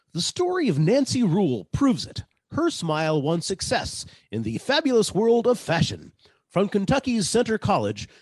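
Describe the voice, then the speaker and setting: A man's voice: high-pitched, darkish tone, breathy edge, dry recording, full character voice. A man acting as an upbeat 1940s radio commercial announcer, reading an advertisement in an emphatic, salesmanlike way.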